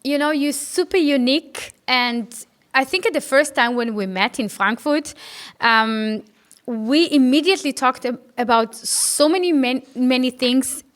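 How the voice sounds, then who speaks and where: A woman's voice: high-pitched, a little slow. A woman from Israel hosting a talk show, chatting eagerly with her guest and often restarting her sentences. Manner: enthusiastic, with frequent restarts and fillers. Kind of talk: casual interview chat.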